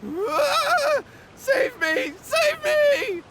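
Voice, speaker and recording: A man's voice: very high-pitched, very animated, drawn-out words, heavy background noise, heavily acted. A man voicing a nervous, evasive young man in a video game, with an anxious, stammering manner. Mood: terrified.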